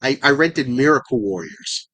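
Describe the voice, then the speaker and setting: A man's voice: mid-range voice, animated, moderate pace, bright, forceful tone, no echo. A man from the United States, a podcast guest chatting casually and reminiscing. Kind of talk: casual chat.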